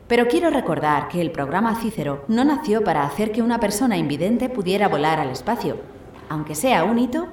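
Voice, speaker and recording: A woman's voice: lowish voice, a little mellow, close to an everyday voice. A woman with an accent from Spain playing a modest, sincere astronaut captain at a press conference in an audio drama, speaking in a hesitant, conversational way. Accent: Spanish from Spain. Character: overwhelmed, modest blind woman astronaut, pioneering mission captain. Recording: roomy echo, slight background noise.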